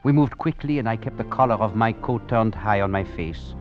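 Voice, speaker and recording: An actor playing a cunning, roguish escaped French convict on the run, in theatrical golden-age radio drama style with French endearments. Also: male voice, fairly low pitch, lively intonation, fairly quick, natural voice. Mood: tense narration. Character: hunted escaped French convict, cunning, roguish, suave, narrating his flight. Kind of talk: 1940s-50s American radio drama acting. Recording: muffled vintage radio recording, music behind.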